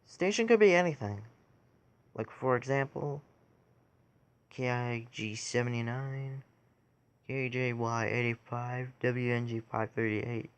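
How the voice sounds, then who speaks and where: A man giving a casual how-to tutorial in a halting way, pausing between short phrases. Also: mid-range voice. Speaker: tutorial video creator.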